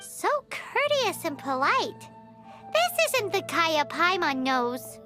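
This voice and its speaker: A woman voicing a tiny, excitable fairy girl who talks about herself in the third person, for a game. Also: very high-pitched, slow pace, music in the background, full character voice. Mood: chirpy. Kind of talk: game voice acting.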